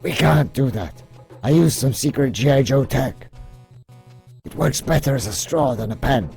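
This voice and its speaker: A man voicing an earnest, wistful human military paperwork officer, deadpan and matter-of-fact, in an amateur comic dub. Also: medium pitch, moderate pace, creaky, faint music behind. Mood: dry, deadpan.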